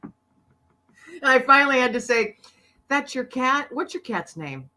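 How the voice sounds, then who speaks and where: A middle-aged woman tells stories as a guest, animated and quick to laugh. Her bright voice swings widely up and down in pitch. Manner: animated storyteller, laughs often. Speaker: podcaster and author, guest. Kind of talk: casual interview chat.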